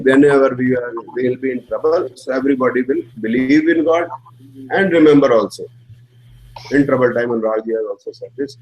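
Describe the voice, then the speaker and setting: A man's voice: medium pitch, a little mellow, rough recording. Indian male teacher, lecturing plainly in simple English, faint hiss behind. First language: Hindi. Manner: explains slowly in simple English, checks with "right?". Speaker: astrology teacher. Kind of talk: classroom lecture.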